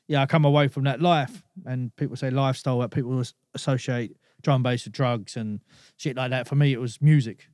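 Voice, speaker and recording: A man's voice: mid-range voice, darkish tone, no echo, clean studio sound. A man, a podcast guest telling stories in casual chat, laid-back and dropping "you know what I mean" often. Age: 30s.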